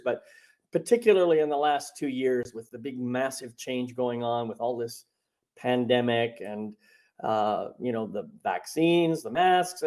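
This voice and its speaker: Rambling man hosting a call. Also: medium pitch. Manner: warm, rambling, with many fillers and restarts. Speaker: podcast host and coach. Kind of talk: casual spiritual podcast chat.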